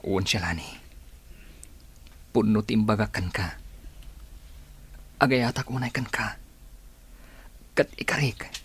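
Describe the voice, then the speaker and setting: A very husky, breathy male voice from an actor plays a devoted outlaw in a radio drama. He is slightly low in pitch, earnest and deliberate, with Ilocano serial delivery. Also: fairly bright tone, heavily acted. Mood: earnest, tender.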